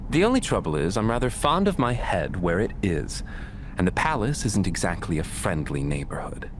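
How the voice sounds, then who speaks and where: An actor playing a wry, roguish thief in a historical Chinese game drama, with a theatrical delivery. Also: male voice, low voice, very animated, normal speed, background noise. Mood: amused, wry. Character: roguish, wry thief man, self-preserving but game.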